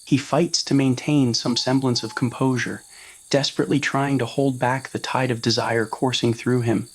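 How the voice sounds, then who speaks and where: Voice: medium pitch, fairly even intonation, moderate pace, slightly rough. A narrator reading a scripted romantic roleplay story in the second person, voicing the scene as well as the dialogue. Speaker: narrator of fan roleplay audio stories.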